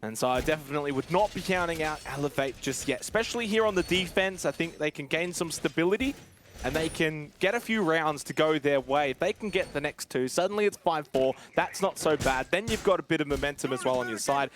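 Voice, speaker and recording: High-voiced energetic male esports caster. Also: fairly quick. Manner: energetic, builds to excited shouting on big plays. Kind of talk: play-by-play esports commentary.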